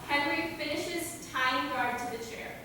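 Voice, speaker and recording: Female stage-direction narrator, high voice, distant mic in a noisy reverberant theater. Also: unhurried, pushed and bright. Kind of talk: scripted narration at a staged reading. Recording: echoey hall, distant, noisy.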